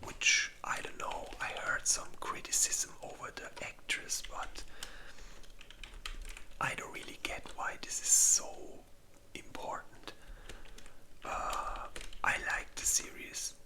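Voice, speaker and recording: A breathy, unvoiced whisper in a casual ASMR style, hesitant and informal, giving opinions close to the microphone. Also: takes it slowly, long pauses. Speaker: ASMR creator reviewing zombie movies.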